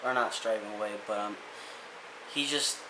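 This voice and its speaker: Young man, vlogger, rambling earnest pep talk, roomy echo, loud hiss. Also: on the low side, moderate pace. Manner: earnest, rambling, many restarts and fillers. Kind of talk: casual motivational rant. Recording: echoey room with hiss.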